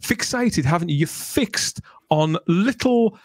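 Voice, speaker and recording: Animated man hosting a podcast. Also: high voice, slow pace, dry recording. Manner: enthusiastic, jokey, wordy. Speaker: podcast host. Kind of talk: chatty fan podcast talk. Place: small room at home, on a video call. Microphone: close podcast microphone.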